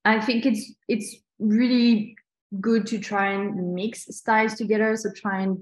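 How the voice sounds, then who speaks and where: A woman's voice: mid-range voice, steady tone, a little slow. A woman from New Caledonia with a French accent, chatting enthusiastically as she teaches a live online lettering tutorial. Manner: chatty and enthusiastic, many restarts. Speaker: lettering instructor. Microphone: video call. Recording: echoey video call audio.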